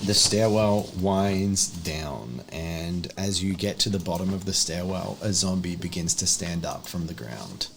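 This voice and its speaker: Low-voiced man running a tabletop horror game. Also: measured pace, vocal fry, few pauses, a bit bright, slight background noise. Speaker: game master.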